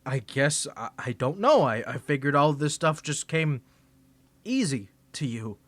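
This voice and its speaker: A man's voice: a little high, very animated, unhurried, fairly bright tone, no room sound. A man voicing a flustered young tsundere male student rival in an ASMR roleplay, teasing and defensive, stammering when caught off guard. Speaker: ASMR creator.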